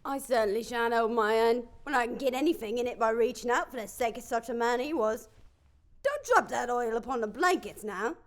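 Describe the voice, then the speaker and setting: A woman's voice: a little high, lively intonation, brisk, lightly acted. A woman playing a brazen, callous working-class thief in an audio play, defiant and scoffing in colloquial speech. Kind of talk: audio play character acting.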